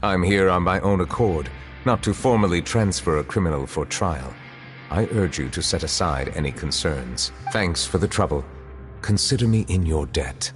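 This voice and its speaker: A dignified, aloof chief justice from a video game, voiced by a man. His voice is very deep, and he speaks in a calm, measured and formal way. Game music plays behind him. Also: natural voice. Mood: solemn.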